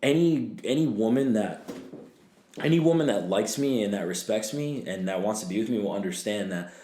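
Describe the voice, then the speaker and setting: A man's voice: fairly low pitch, lively intonation, moderate pace, mellow, a little hiss or hum. Young man in loose, swearing chat. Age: 20s. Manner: loose, swearing, laughing, trailing fillers. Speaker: podcast guest. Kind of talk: casual chat. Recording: echoey room.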